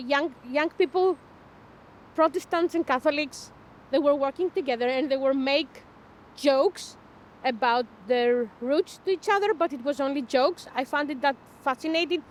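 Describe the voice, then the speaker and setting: A woman in her fifties from Greece, speaking English with a Greek accent in halting sentences with small grammar slips, as a documentary interviewee. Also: high voice, a little hiss or hum. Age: 50s. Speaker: interviewee, performance artist.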